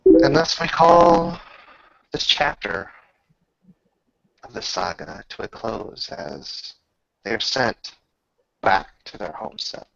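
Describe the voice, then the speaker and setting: A man acting as game master, telling a tabletop roleplaying story in a measured, grandiose manner with drawn-out pauses. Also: on the low side, big pitch swings, gravelly, fairly bright tone, a little hiss or hum. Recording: slightly dull, roomy home recording.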